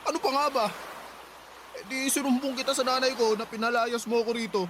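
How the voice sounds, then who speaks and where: A man's voice: very high-pitched, flat delivery, brisk, heavy background noise. A man playing a petulant, irresponsible young husband and tattling son in a Filipino radio drama, whiny and goading.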